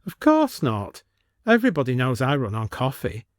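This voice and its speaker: A man's voice: medium pitch, huge pitch swings, moderate pace, no room sound, studio quality. Man as a wary, plain-spoken village nurse. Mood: puzzled.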